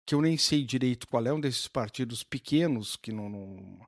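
A man's voice: mid-range voice, expressive, normal speed, no echo, studio quality. An older man, a guest patiently explaining something, with many fillers and restarts. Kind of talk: casual explanatory conversation.

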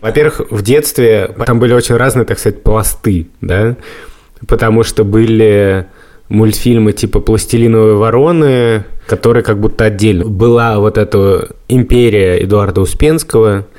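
A man's voice: lowish voice, normal speed, a little mellow. A man speaks as a podcast co-host. His delivery is casual and conversational, like a discussion among peers.

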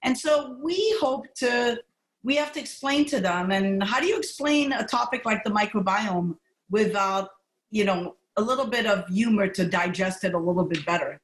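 A woman's voice: medium pitch, animated, moderate pace, echoey room, rough recording. A middle-aged woman gastroenterologist who grew up in Montreal, talking candidly and with laughs in a podcast interview. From Montreal, Canada. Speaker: gastroenterologist guest.

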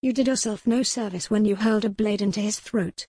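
A woman's voice: mid-range voice, fairly even intonation, brisk, warm tone, breathy edge, no echo, studio quality. A woman voicing a defiant young noble lady who pleads earnestly in formal old-fashioned speech. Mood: impassioned.

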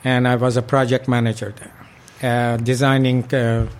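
A man's voice: mid-range voice, flat delivery, normal speed, clean tone. An older man from Iran, a local club president, recalling his past in an oral history interview with many fillers and restarts.

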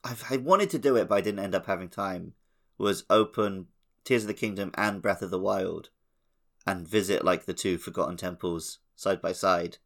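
A man's voice: sits low, gravelly, a bit bright. A man co-hosting a podcast, casually discussing a video game. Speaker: podcast co-host.

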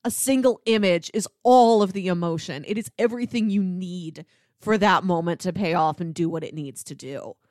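A woman co-hosting a casual film discussion in an expressive way, given to acting out little mock quotes. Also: fairly low pitch, moderate pace, no room sound, clean studio sound. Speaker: podcast co-host.

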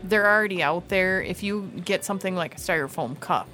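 A woman with a Minnesota accent joins a gardening chat on air. Her voice sits slightly low, and her manner is friendly and self-deprecating. Soft music lingers in the background. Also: brisk. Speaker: master gardener guest. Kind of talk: casual radio chat.

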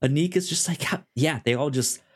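A man co-hosting a casual TV review discussion, speaking haltingly and stammering over repeated syllables. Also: a little high, slightly rough. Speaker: podcast co-host.

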